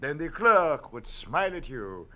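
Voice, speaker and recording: A man's voice: fairly high pitch, big pitch swings, unhurried. An actor playing a sleek, cunning, mocking man who is a master of disguise, in a 1940s radio drama. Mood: smug, taunting. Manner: smug, taunting. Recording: dull old radio recording.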